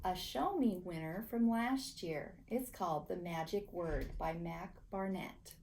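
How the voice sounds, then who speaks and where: A woman reading a children's picture book aloud with a theatrical, deliberate delivery. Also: fairly low pitch, creaky, a little mellow, slight background noise, rough recording. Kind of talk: expressive children's story narration.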